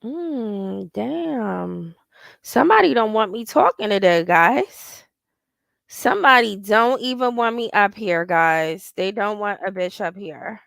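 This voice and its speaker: A woman's voice: medium pitch, lively intonation, slow pace, vocal fry, a bit bright, no echo, studio quality. A woman in her forties hosting a casual livestream, talking in a blunt, profane and rambling way and reading out viewer comments. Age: 40s. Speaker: livestream host.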